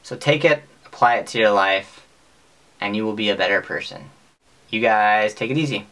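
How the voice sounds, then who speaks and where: A young man with an American accent talking casually to camera in a deadpan, mock-serious, sweary comic monologue, recorded in a small bedroom. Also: lowish voice, moderate pace, slight background noise. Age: young adult. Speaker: vlogger. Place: small bedroom with bunk bed, indoors.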